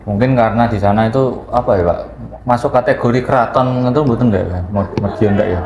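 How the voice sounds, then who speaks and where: A man with a Javanese accent hosting a podcast, asking relaxed questions in casual chat. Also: on the low side, fast talker, slight background noise. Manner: relaxed, asks questions, brief agreeing replies. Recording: roomy echo with crowd chatter.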